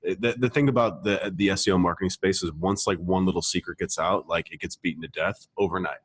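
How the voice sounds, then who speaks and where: A man's voice: low voice, a little fast, husky, no room sound. A man hosting a podcast, talking casually and emphatically, repeating points for stress and checking in with the listener. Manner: emphatic, repetitive, casual swearing, frequent check-in tags. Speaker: podcast host, marketer. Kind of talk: casual conversational advice.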